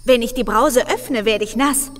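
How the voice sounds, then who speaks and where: A woman's voice: fairly high pitch, normal speed, in a large hall, close to an everyday voice. A woman voicing a cheerful, wheedling young heroine in an adventure game. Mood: sweetly persistent. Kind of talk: game dialogue.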